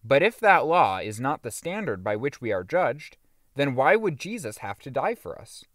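A man reading a religious audiobook as scripted narration, with emphatic, deliberate phrasing. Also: medium pitch, very animated, a bit bright, dry recording, clean studio sound.

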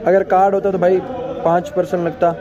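A man's voice: high-pitched, level tone, brisk, dull audio. A young man with a Pakistani accent casually reviewing food as a vlogger, informally mixing in English words. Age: young adult. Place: large indoor restaurant. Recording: loud restaurant chatter behind the voice. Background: busy crowd chatter.

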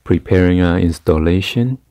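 A man giving a casual hands-on tech tutorial in a halting, hesitant way. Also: low voice, dark tone, smooth, no room sound. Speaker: tutorial host. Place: carpeted room indoors.

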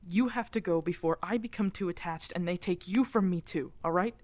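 A woman playing a guilt-ridden, protective exiled werewolf alpha in an intimate ASMR audio drama, halting and emotional, often slipping toward a breathy whisper. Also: lowish voice, a little mellow, no room sound, natural voice. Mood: anguished pleading.

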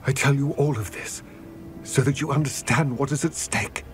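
Man voicing a solemn goblin, weary, measured, mid-pitched, soft music underneath. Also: steady tone, lightly acted. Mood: grave. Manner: measured, somber storytelling. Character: weary old male goblin, solemn and grave. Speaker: game character.

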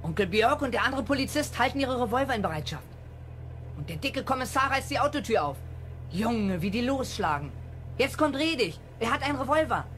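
A young-sounding performer playing a boastful, excited boy detective in a children's radio play, eager and self-assured. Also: mid-range voice, fairly quick, close to an everyday voice. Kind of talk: 1970s German children's radio play acting. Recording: clear engine hum and noise.

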